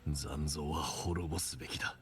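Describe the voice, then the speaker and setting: A man's voice: a bass voice, steady tone, fast. An actor playing a bitter, defiant male warrior with a scornful, intense manner in an anime game. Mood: fierce resolve. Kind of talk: anime game voice acting in Japanese.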